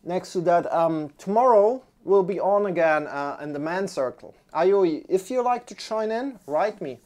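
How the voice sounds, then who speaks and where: Young German yoga teacher, casual livestream chat, clip-on mic, loose and rambling. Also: male voice, high voice, expressive, measured pace, darkish tone. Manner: rambling, friendly, restarts often. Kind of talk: casual chat with live viewers. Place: indoor room, sitting on a yoga mat.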